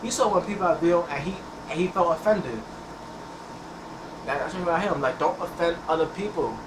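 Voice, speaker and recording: New teen dude talking over a game, keeps repeating himself, sounds far off in a noisy echoey room. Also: male voice, a little high, brisk. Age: teens. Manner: rambling, repeats words, restarts often. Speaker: gameplay channel host. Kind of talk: casual talk over gameplay. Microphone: headset mic.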